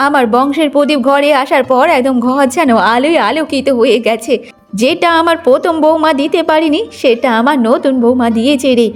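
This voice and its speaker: A woman's voice: sits high, lightly acted. A woman playing a boastful, meddling older village grandmother in a cartoon moral story.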